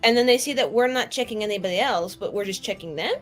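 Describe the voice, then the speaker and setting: A woman's voice: mid-range voice, fast talker, close to an everyday voice. A woman voicing a naive, doubtful spellcaster questioning a scheme in improvised tabletop roleplay. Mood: puzzled. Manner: questioning, reluctant. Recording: music under the voice.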